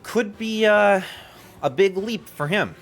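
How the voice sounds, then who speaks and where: A young man hosting a game stream, giving lively, excitable play-by-play and laughing often with his co-host. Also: high voice, takes it slowly, faint music behind. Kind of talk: casual game commentary.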